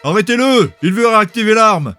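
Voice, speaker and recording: A man voicing a defiant male hero in an audiobook, calling out with forceful urgency. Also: high-pitched, lively intonation, brisk, dry recording, faint music behind, voice pushed up. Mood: urgent defiance. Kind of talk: dramatic audiobook character acting.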